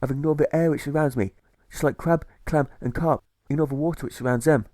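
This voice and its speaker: A man's voice: a little high, a little fast, darkish tone, no echo, pitched above the performer's own voice, natural voice. A man voicing an earnest female dog who searches for peace in a storybook fable, declaiming her lines. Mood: elated revelation.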